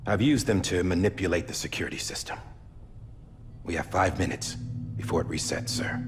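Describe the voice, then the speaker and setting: An actor playing a loyal, guilt-ridden soldier in a game drama, halting and earnest, often dropping toward a whisper. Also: male voice, low voice, natural voice. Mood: urgent, secretive. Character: loyal, guilt-ridden soldier torn between oath and former commander. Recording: loud music behind the voice. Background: cinematic score.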